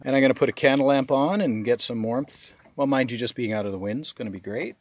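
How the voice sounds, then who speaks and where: A man chatting casually as he films his evening routine in a small sailboat cabin, relaxed, with restarts and friendly "eh?" tags. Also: mid-range voice, quick pace. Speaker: sailboat designer and vlogger. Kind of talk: casual how-to vlog narration.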